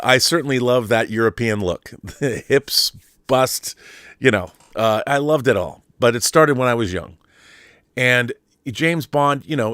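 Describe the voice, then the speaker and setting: A man's voice: on the low side, a bit bright, no room sound, studio quality. A middle-aged man from the Pacific Northwest of the United States hosting a podcast, talking through a loose, rambling monologue full of asides and restarts. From Mercer Island, Washington, USA. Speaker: podcast host. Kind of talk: casual monologue.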